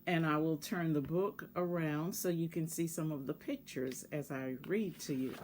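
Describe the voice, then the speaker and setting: A woman reading a picture book aloud for children in a measured way, pausing to address young listeners. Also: sits low, darkish tone, slight background noise. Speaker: volunteer children's story reader.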